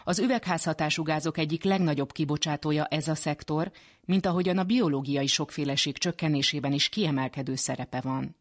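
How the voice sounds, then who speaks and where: A woman presenting a radio programme in scripted narration. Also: sits low. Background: music behind the voice.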